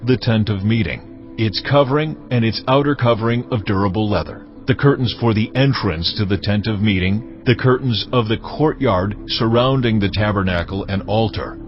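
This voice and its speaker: A man voicing God in a dramatized audio Bible, giving solemn commands in a measured, authoritative way. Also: medium pitch, natural voice. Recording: dull studio sound under music. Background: clear background music.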